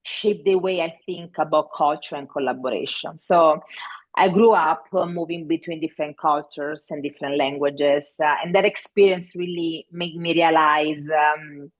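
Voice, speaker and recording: Low-voiced Italian-accented woman. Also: steady tone, moderate pace, poor audio. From Italy. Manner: hesitant, many fillers and restarts. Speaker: guest. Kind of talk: casual interview talk.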